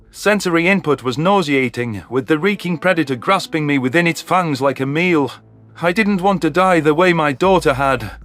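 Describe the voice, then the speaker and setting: A man's voice: fairly high pitch, a bit bright. A man voicing a bitter, hateful alien veteran in a dramatic, vehement telling of a sci-fi story.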